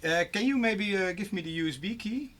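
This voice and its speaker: A man with a bright, slightly high voice chats casually as a co-host. There is faint noise and typing behind him. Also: animated, brisk. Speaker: co-host handling the stream. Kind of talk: casual chat.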